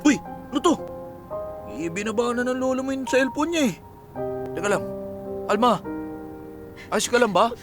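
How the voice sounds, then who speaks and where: A young Filipino man in a radio drama. His voice sits high, and he comes across as loyal but defiant, over prominent background music. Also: animated, normal speed, long pauses. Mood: casual, hesitant.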